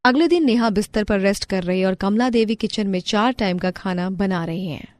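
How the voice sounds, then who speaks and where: A woman's voice: mid-range voice, normal speed, darkish tone, no room sound. A woman narrator reading a scripted family moral story.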